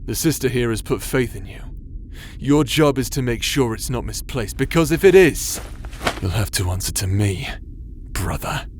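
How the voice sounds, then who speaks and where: A man playing a cocky, mistrustful warrior in an audio roleplay, blunt, goading and rough-tongued. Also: mid-range voice, animated, normal speed, slight background noise, natural voice. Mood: accusing, menacing.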